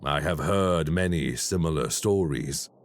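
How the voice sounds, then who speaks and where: An actor playing a calm, formal male crime boss who speaks in a polite, measured, businesslike way in an audio roleplay. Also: extremely low pitch. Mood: courteous.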